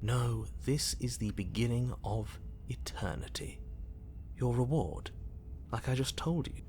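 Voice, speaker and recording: A man performs a smug storybook narrator for a horror game. He is measured, articulate and theatrical, mid-pitched, with an air of control and faint noise behind him. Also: a little mellow, lightly acted.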